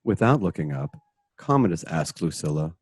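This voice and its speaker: A man with a husky voice narrating a radio drama from a script. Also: low-pitched, very animated, normal speed, no room sound, studio quality.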